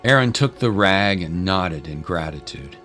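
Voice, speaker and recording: A man narrating a scripted dramatic story in a solemn, deliberate way. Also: sits low, expressive, a bit bright. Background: clear music.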